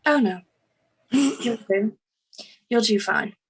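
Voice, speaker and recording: A woman's voice: on the high side, slow pace, slightly rough, far from the mic. A woman acting a confident, slinky female con artist at a screenplay table read, with a teasing, self-assured manner. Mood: flirtatious, sly.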